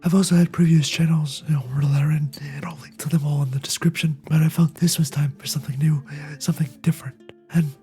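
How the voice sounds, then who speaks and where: A host with a raspy, quiet, fragile voice, talking through a game scene in a soft near-whisper with frequent "right?" asides. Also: mid-range voice, level tone, fast, few pauses, faint music behind. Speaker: video essay host reacting to a game scene. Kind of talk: casual spoken analysis.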